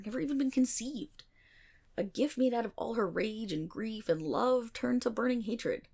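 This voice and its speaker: A woman's voice: fairly high pitch, gravelly, a little mellow, heavily acted. A woman playing a nerdy, playful best friend in an ASMR roleplay, telling stories in a chatty, dramatic, self-interrupting way with mock exasperation. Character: nerdy, playful female best friend rambling excitedly about mythology. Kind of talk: ASMR roleplay, casual storytelling monologue.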